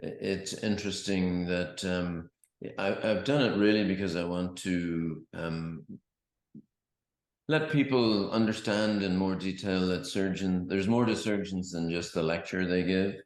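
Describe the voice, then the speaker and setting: A man with a very deep voice hosts the interview, pausing often with ums as he explains things. His voice sounds roomy and echoey, as if heard over a remote call. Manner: hesitant, many ums and uhs. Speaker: podcast host. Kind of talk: interview hosting.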